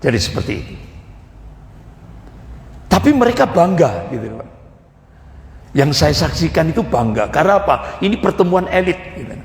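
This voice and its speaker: A man's voice: on the high side, sing-song, moderate pace, long pauses. A man preaching to a congregation, emphatic and repetitive, asking rhetorical questions. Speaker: Islamic preacher. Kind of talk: religious lecture to a congregation. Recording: echoey hall with long reverb.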